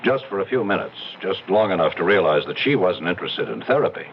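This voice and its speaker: On a muffled radio recording with slight hiss, a male psychiatrist in a drama. The fairly low voice is clipped, formal and guarded, evasive under questioning. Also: animated, fast, gravelly. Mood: hesitant, confiding. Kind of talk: old-time radio drama acting. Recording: dull, noisy old radio recording.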